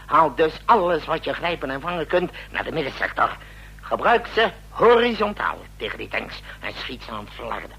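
An actor playing a brisk, wry, commanding president in a radio drama, giving curt orders in a husky voice. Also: male voice, a little high, very animated, pushed and bright, full character voice, poor audio. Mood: urgent, commanding. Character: commanding, brisk, wry president rousing his generals at night.

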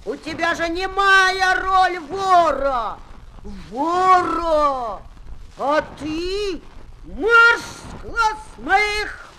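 A woman's voice: very high voice, full character voice. A woman playing a cheeky, blunt schoolboy with a taunting manner in a 1970s Soviet children's radio play. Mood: mock scolding. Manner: taunting, brash. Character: cheeky, blunt schoolboy Vadik, mocking friend. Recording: noisy old 1970s flexi-disc record.

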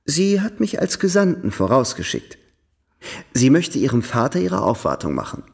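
An actor playing a charming, worldly young European gentleman in a radio drama, polite, effusive and playfully theatrical. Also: medium pitch, wildly swooping pitch, moderate pace, gravelly, slightly rough, heavily acted. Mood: confiding, amused.

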